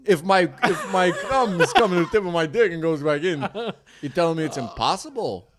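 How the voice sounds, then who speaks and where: Crude, joking man with lively delivery. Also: on the high side, a little fast, crowd noise. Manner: crude, teasing, mock-indignant. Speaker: podcast co-host. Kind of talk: joking casual chat.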